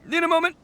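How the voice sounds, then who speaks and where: Brash, swooping-voiced oni gang boss. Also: male voice, extremely high pitch, vocal fry, sharp tone, a little hiss or hum, exaggerated voice. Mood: flustered, strained. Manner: brash, blustering, stammering when flustered. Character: cocky, childish horned oni gang boss, soft-hearted uncle. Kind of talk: English anime game dub.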